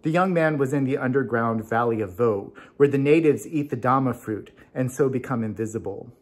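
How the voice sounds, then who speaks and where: A man's voice: medium pitch, fairly quick. Guy doing a storybook read-aloud, introducing it and narrating. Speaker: club officer introducing and reading a story.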